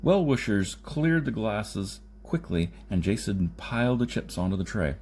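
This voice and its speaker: A man narrates a science fiction tale in a relaxed, dark-toned voice that sits a little low. He reads from a script as an audiobook narrator. There is some faint noise behind him. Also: unhurried. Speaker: audiobook reader. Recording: noticeable background noise.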